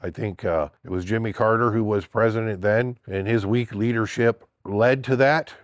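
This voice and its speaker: A man's voice: lowish voice, steady tone, normal speed, no room sound, clean studio sound. An older man with a stuffy, congested voice from a cold, giving a blunt, indignant opinion monologue in a casual vlog. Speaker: political vlogger.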